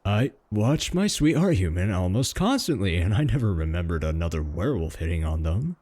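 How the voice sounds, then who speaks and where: A man playing a clingy, cocky male werewolf in a comedic roleplay audio drama, boastful, sarcastic and pleading, with a husky voice. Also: deep voice, very animated, a little fast, darkish tone, no room sound. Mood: possessive.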